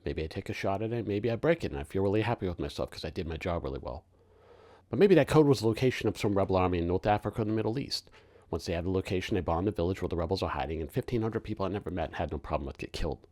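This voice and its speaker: A man performing a sharp-witted, defiant young working-class man in a sardonic, colloquial theatrical monologue. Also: low voice, animated, very fast. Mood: sarcastic, defiant. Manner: sardonic, colloquial, mounting rant.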